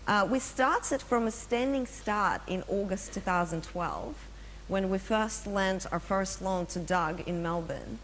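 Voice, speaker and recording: A woman's voice: medium pitch, measured pace, a bit bright. A woman with a Russian accent giving a business presentation at a conference, with frequent fillers and restarts, asking the audience questions. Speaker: conference speaker. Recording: long hall reverb, faint hum.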